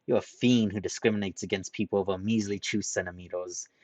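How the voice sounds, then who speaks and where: A man reading a story aloud, voicing a loud, boastful young man who picks fights and brags. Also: lowish voice, steady tone, no echo, lightly acted. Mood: brash, indignant. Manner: shouting, bragging, quick to pick fights.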